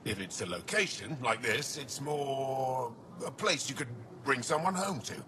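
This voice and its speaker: An actor voicing a hospitable alien bar keeper in a video game, helpful and matter-of-fact. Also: male voice, medium pitch, lively intonation, measured pace, sharp tone, a little hiss or hum. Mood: welcoming. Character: hospitable male alien bar keeper, proud of his food.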